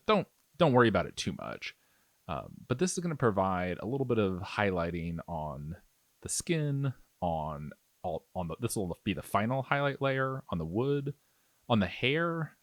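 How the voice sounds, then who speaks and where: A man with a fairly low-pitched voice gives casual instructional narration. His intonation moves around a lot as he explains each step in an easygoing, informal way. The sound is close and dry, with very little room in it. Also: dry recording. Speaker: miniature painting tutorial host.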